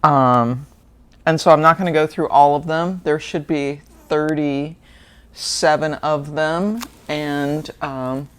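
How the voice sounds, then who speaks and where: A woman's voice: sits low, steady tone, slow pace. A woman, hosting a book channel and chatting casually through an unboxing with fillers and small laughs. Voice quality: slightly gravelly.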